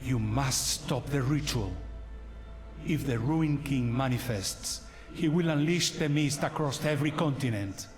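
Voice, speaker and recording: An actor playing a solemn guide who recounts dark legends in a grave, formal and deliberate way for a fantasy game. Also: male voice, medium pitch, natural voice. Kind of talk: dramatic fantasy game narration. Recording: long reverb, music behind.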